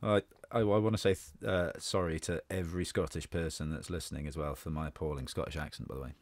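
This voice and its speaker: A man's voice: a bass voice, fairly even intonation, quick pace, no room sound. A man, an actor, chatting casually and jokily with colleagues. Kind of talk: casual joking chat.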